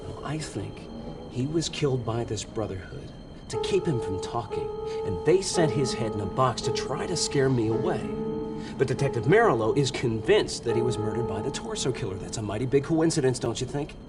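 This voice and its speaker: A man's voice: medium pitch, animated, normal speed, natural voice. Man playing earnest young American agent over music. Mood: earnest, hesitant. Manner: hesitant, pleading, deferential. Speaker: young federal investigator. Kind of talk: video game cutscene acting. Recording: game dialogue over background music.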